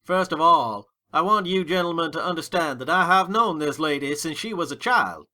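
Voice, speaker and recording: A man's voice: fairly high pitch, animated, normal speed, sharp tone. A man playing a hot-tempered, defiant American gangster in a dramatic audiobook reading, with slangy, emphatic delivery. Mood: confessional.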